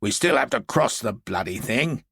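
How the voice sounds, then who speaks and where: Guy doing a cranky blunt general, gravelly, lots of swing in the pitch. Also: animated, normal speed, pushed and bright, no room sound, clean studio sound, heavily acted. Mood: brusque. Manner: gruff and brusque. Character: irritable, blunt middle-aged male British general.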